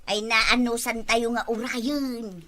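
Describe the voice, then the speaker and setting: A woman's voice: on the high side, level tone, takes it slowly, fairly bright tone. A woman with an Ilocano accent playing a village woman in a comedy drama.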